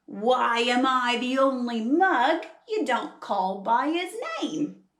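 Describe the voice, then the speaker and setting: A woman's voice: fairly high pitch, slow, fairly bright tone, reverberant. A woman voicing an indignant male koala from a children's picture book, complaining emphatically in rhyme with an attempted Australian accent. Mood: protesting. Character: indignant male koala fed up with being called bear.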